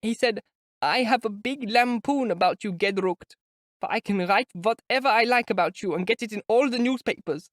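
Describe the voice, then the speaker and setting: A reader voicing an excitable, indignant German landlady with a German accent, rattling out her complaints. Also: medium pitch, normal speed, dry recording, studio quality, pitched above the performer's own voice, natural voice. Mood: aggrieved. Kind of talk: audiobook character voice.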